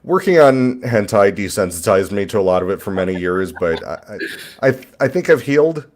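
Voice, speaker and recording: A man's voice: on the low side, very animated, a little mellow. Dry, reflective man in his forties. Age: 40s. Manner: dry, reflective, halting restarts. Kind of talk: casual chat.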